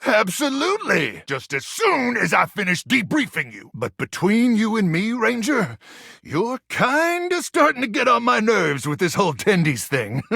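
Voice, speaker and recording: A frazzled, gung-ho soldier microwave from a video game. The voice is raspy and high for a man, swinging wildly in pitch. It blusters out military talk with awkward, paranoid intensity. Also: sharp tone, dry recording, clean studio sound, full character voice. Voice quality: gravelly, raspy. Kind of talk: animated video game voice acting.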